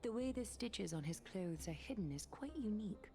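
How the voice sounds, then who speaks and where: A woman playing a dignified, gently commanding female leader in a video game, speaking in a measured, formal, breathy way. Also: medium pitch, lively intonation, a little hiss or hum, close to an everyday voice. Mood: gracious, composed. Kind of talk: anime-style game voice acting.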